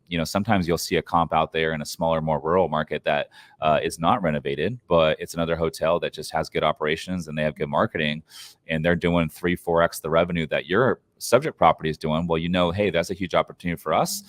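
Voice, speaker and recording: A man's voice: extremely low pitch, fairly even intonation, fast, dry recording. A man speaking as a podcast guest, answering interview questions about investing in an informal, advice-giving way.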